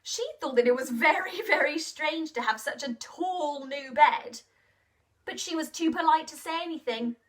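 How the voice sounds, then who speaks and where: A woman telling a story to children in an expressive, interactive way, asking questions and encouraging them to act along. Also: sits high, normal speed, recorded from a distance.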